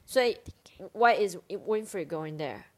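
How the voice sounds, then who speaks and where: A woman's voice: on the low side, animated, a little slow. A woman co-host chatting casually and playfully, retelling a story and switching between two languages. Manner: switches between Mandarin and English. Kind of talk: casual playful bilingual chat.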